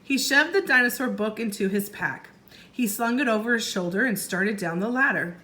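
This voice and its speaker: A woman teacher reading a story aloud to young children in an expressive way, pausing to explain and ask questions. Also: fairly high pitch. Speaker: first grade teacher.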